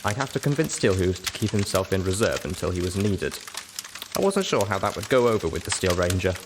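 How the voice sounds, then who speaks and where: A man's voice: low-pitched, very animated, fairly quick, background noise. A man reading scripted first-person narration as an audiobook narrator.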